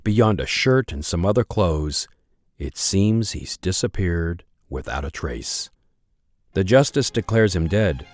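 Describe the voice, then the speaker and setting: A man tells the tale in a deep, very low voice, pausing for drama. Faint music plays underneath his measured storybook narration. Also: darkish tone.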